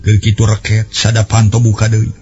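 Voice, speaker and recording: A man's voice: on the low side, completely flat delivery, normal speed, darkish tone, slight background noise. A man with a West Javanese accent telling a story in dramatic radio style, recorded on old cassette. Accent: Sundanese-language West Javanese.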